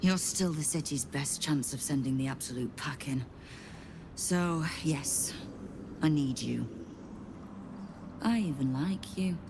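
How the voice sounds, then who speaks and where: An actress playing a shrewd, threatening crime boss in a video game, speaking in a controlled, deliberate, menacing way. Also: female voice, sits low, noisy background. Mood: controlled menace.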